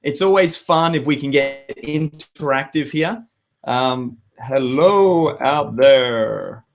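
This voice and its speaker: Hoarse, chatty Australian man. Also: medium pitch, slow pace. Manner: chatty, upbeat, rambling with restarts. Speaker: live web show host. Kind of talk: live webinar chat to viewers.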